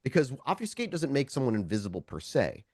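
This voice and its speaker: A man acts as game master for a tabletop roleplaying session, describing scenes in an informal, conversational way. His medium-pitched voice swings widely in intonation. The sound is dry, with little room around it. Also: huge pitch swings, fast talker, a little mellow, no room sound.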